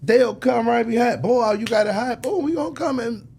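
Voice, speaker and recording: A man's voice: very high voice, moderate pace, gravelly, a little mellow, slight background noise. An American man giving casual, emphatic, preachy advice as an interview guest, often checking the listener follows with tag questions. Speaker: music industry executive, interview guest.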